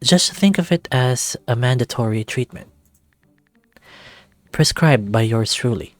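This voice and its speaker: A man's voice: medium pitch, expressive, a little slow, no room sound, lightly acted. A man voicing a sly, self-assured male silver fox mentor in a visual novel, coaxing and matter-of-fact.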